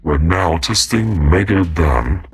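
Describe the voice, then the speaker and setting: A commanding armored soldier acted by a man speaks slowly and very low through a voice-changer filter. Music plays clearly behind. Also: expressive, heavily acted. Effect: power-armor voice changer effect.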